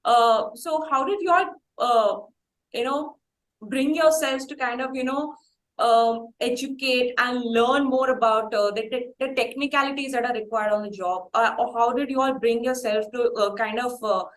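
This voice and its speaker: A woman's voice: fairly high pitch, moderate pace. A woman with an Indian accent hosting a casual interview, asking wordy, roundabout questions. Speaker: podcast host and interviewer. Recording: roomy, echoing sound.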